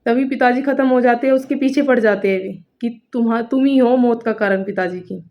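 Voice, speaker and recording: A woman's voice: on the high side, fairly quick. A woman telling a story to viewers informally, repeating phrases and building suspense as a storytelling coach would. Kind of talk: spoken storytelling, explaining to viewers. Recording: dull lo-fi recording.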